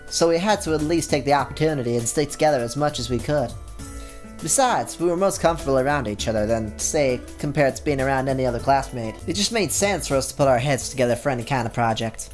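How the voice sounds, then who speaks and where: A man reads a romance visual novel aloud at an even, plain pace, breaking off now and then for a casual aside. Soft game music plays in the background. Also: mid-range voice. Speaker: YouTube gamer.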